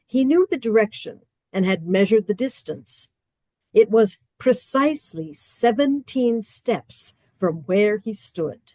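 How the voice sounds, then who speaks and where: A woman narrating a radio play with measured storytelling and dry comic emphasis. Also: mid-range voice, warm tone, no echo.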